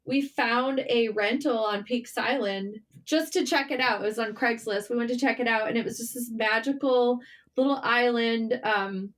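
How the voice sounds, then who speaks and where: High-voiced American woman telling stories. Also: moderate pace, a bit bright. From Michigan, United States. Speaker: podcast guest, writer. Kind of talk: conversational interview storytelling.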